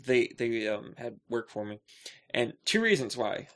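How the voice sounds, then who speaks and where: A man with a bright, forward voice at an ordinary male pitch. He chats casually as a podcast co-host, with a joking, bantering way of talking. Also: big pitch swings, measured pace.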